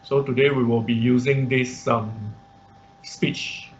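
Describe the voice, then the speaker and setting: A man who speaks English as a second language teaches an online class step by step, haltingly, repeating words and checking with okay and right. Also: mid-range voice, steady tone, slight background noise. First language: not English. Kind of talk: live online tutorial, explaining step by step. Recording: dull, lo-fi online class audio.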